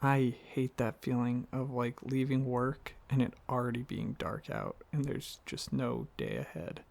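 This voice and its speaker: A man playing an affectionate, excitable dragon boyfriend in an ASMR roleplay, talking casually and a little haltingly, with a gravelly voice. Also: medium pitch. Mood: wistful. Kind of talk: ASMR roleplay, softly addressing the listener as partner.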